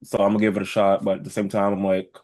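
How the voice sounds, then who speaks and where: A man's voice: fairly low pitch, monotone, very fast, a little mellow. A man co-hosting a podcast, chatting casually in a blunt, playful way. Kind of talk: casual chat.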